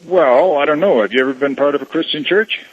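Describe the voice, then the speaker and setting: A man on a phone call, answering casually as a church representative, in a measured, guarded, explanatory way. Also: on the high side, slightly rough, dry recording.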